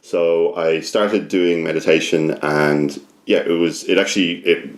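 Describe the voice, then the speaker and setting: A man's voice: low voice, steady tone, unhurried. An Irish man telling a personal story as a podcast guest, casual, self-deprecating and sweary, rambling with plenty of hesitation. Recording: roomy, echoing podcast recording.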